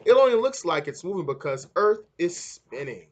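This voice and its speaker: A man reading a children's book aloud in an expressive, deliberate storytelling way. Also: high-pitched. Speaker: read-aloud narrator.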